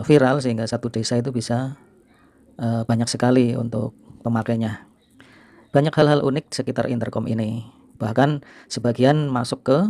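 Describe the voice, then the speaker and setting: A middle-aged man narrates memories informally for his viewers. His voice is mellow and gravelly, with little movement in pitch. He explains things without hurry, in a very dry-sounding recording. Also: no room sound. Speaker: video host and storyteller.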